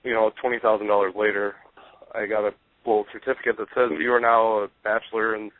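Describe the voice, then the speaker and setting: A man's voice: fairly low pitch, flat delivery, fast talker, no room sound. A man from Nebraska, a radio DJ and band member, eagerly answering questions as a guest on a casual talk show. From Nebraska, USA. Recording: dry, tinny phone-like sound.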